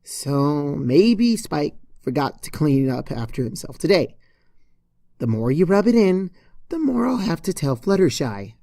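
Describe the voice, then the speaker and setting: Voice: medium pitch, big pitch swings, a little slow, gravelly, mellow, dry recording, studio quality, pitched above the performer's own voice. A reader voicing a flustered, snippy young female pony who gets indignant and defensive in a storybook reading. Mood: snippy defiance. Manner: indignant, defensive, faltering when embarrassed. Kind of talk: storybook character voice in fan fiction reading.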